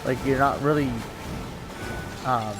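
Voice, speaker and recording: A man's voice: mid-range voice, slow, poor audio. A man giving casual let's play commentary in a hesitant, rambling way. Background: game music.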